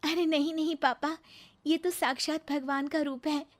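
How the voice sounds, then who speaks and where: Woman doing a dutiful young daughter in a cartoon story, high voice, earnest, placating. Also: steady tone, normal speed, natural voice. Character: dutiful, self-respecting young married daughter, gentle and earnest. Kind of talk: Hindi cartoon moral story acting.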